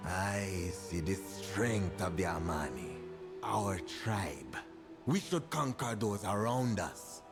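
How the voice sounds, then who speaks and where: A man voicing a proud, ambitious young troll prince in a video game, with a grandiose, deliberate way of speaking. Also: lowish voice. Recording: music behind the voice.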